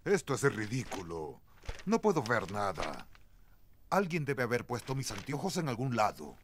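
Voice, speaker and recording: An actor playing a grumbly but good-natured daddy pig in a preschool cartoon, with a blustery, exaggerated delivery. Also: male voice, medium pitch, wildly swooping pitch, moderate pace, a little hiss or hum. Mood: grumpy.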